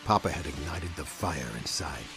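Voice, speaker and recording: Very deep-voiced American gunslinger, vengeful. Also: male voice, animated, moderate pace, loud music behind the voice. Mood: burning resolve. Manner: grim, dramatic, folksy. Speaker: narrator and protagonist. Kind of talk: hard-boiled scripted game narration.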